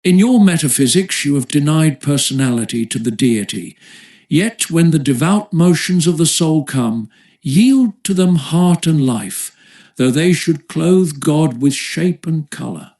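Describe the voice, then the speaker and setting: Male audiobook narrator, slightly high voice, measured and formal delivery for classic essay prose. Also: animated, a little mellow.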